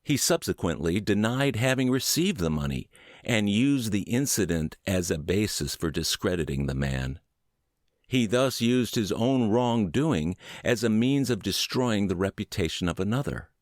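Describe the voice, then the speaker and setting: A man with a low, deep voice narrates a book for a podcast. His intonation moves up and down in a lively way, and he sets out each phrase deliberately with clear pauses. The sound is very dry, with no room around the voice. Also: dry recording. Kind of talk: audiobook reading of a book, scripted narration.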